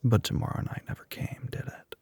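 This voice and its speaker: A man playing a smug, teasing, telepathic young male classmate in an ASMR roleplay, with a husky, breathy, often whispered voice. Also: a little mellow, no room sound, close to an everyday voice. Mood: knowing, teasing. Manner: smug, teasing, drawn-out knowing remarks.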